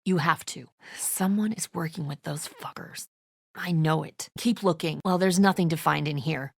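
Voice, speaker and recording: A woman's voice: low voice, normal speed, creaky, darkish tone, slightly rough, dry recording, clean studio sound, full character voice. A woman voicing a tense, suspicious and defensive leader of zombie apocalypse survivors in a video game, speaking bluntly with biting sarcasm. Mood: suspicious, curt.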